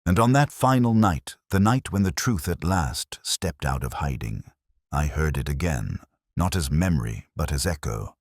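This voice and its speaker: Very deep-voiced male mystery narrator. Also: no room sound, clean studio sound. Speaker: narrator of a detective story. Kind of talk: scripted literary narration, first-person mystery.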